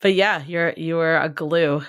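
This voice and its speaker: A woman co-hosting a dating podcast, chatting casually with a hoarse, raspy voice and lots of laughs and fillers. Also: low voice, fairly even intonation, unhurried. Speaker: podcast co-host. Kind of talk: casual chat and read ad.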